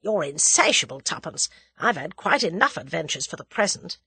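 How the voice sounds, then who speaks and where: A woman's voice: on the low side, very animated, normal speed, bright, forceful tone, breathy edge, no room sound, heavily acted. A woman voicing a bossy, lovestruck young man adventurer in an audiobook, clipped and emphatic. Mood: exasperated. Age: young adult. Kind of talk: audiobook character dialogue.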